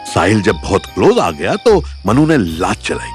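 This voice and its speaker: Animated male story narrator over music. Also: lowish voice, moderate pace. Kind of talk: dramatic scripted story narration.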